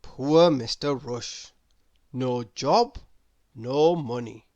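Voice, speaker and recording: A woman reading a children's story aloud in an expressive narrating style. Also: a bass voice, drawn-out words, highs cut off. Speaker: storybook reader.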